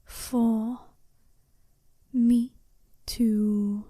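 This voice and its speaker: A very mellow, dark-toned werewolf bride acted by a woman, seductive and sure of herself. She speaks slowly and intimately in a soft half-whisper, teasing and deliberate, as in a close, dry ASMR roleplay. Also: on the high side, little pitch movement, no room sound. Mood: alluring, challenging.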